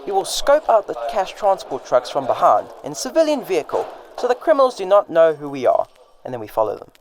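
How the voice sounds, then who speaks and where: A man's voice: fairly high pitch, huge pitch swings, brisk. A man playing a polite, earnest detective who is new in town, in a radio drama.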